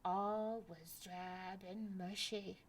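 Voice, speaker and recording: A woman reading a picture book aloud at library story time, expressive and dropping to hushed whispers. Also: mid-range voice, very slow.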